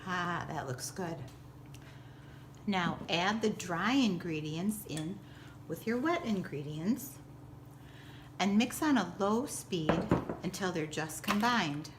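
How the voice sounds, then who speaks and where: A woman giving a step-by-step cooking demonstration like a teacher, with simple, clear directions. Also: lowish voice, lively intonation, slow pace, pauses often, a little hiss or hum. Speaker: school teacher presenting a recipe.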